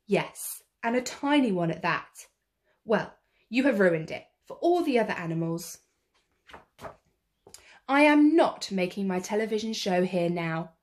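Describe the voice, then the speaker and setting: Woman as a slow, stern, indignant man. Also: medium pitch, animated, close to an everyday voice. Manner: stern, emphatic, slow scolding. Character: furious, indignant television-show man scolding a cub.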